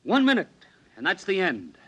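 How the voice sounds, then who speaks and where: A man's voice: high-pitched, huge pitch swings, normal speed, fairly bright tone, husky, highs cut off, full character voice. An actor playing a stern, exasperated middle-aged father in a 1950s radio drama. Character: stern, exasperated father, growing uneasy and frantic.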